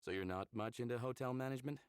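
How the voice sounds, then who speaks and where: A man's voice: mid-range voice, very fast, clear, resonant tone, dry recording, clean studio sound, natural voice. A man playing a friendly, curious human lover in a visual novel, speaking casually and inquisitively. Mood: curious, sympathetic. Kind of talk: visual novel character acting.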